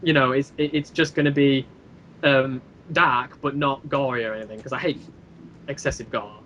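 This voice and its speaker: A man's voice: mid-range voice, fairly even intonation, moderate pace, a bit bright. A man in casual discussion as a podcast guest, explaining his points and acting out imagined reactions. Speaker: podcast guest, creator of an audio drama series. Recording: muffled band-limited mic with clear background noise.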